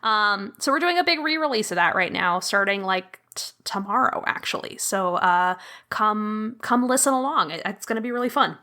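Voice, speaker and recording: Female guest, bright and forward tone, bubbly and chatty. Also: mid-range voice, lively intonation, normal speed. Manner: bubbly, laughs often, full of fillers. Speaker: podcast guest, audio drama producer. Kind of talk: casual chat.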